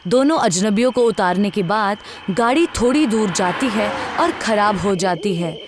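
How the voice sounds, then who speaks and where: A woman's voice: medium pitch, lively intonation, a little slow, slight background noise. A woman narrating a scripted horror story. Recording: music under the voice.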